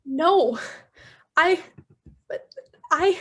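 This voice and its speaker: A grief-stricken, guilt-haunted poet, acted by a woman, speaks in a high voice at a very slow pace. The delivery is halting and theatrical, made for a radio play full of solemn verse and sorrow. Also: expressive, a bit bright, exaggerated voice. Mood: subdued grief. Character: grieving, guilt-haunted solitary poet and scholar. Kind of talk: stage radio-play acting, verse recitation.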